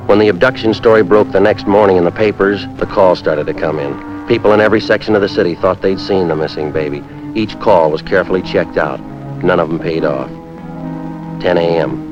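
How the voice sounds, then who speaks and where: A man's voice: low-pitched, natural voice. A man playing a terse, businesslike American police sergeant in a 1950s procedural, speaking and narrating in a clipped, matter-of-fact way. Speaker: lead detective and narrator. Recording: dull 1950s film soundtrack, music underneath.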